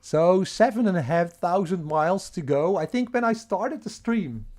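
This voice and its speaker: A man with a Dutch accent talks loosely to his livestream chat from home, restarting sentences and chuckling as he goes. His voice sits a little on the high side for a man. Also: lively intonation, normal speed, darkish tone. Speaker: livestream host.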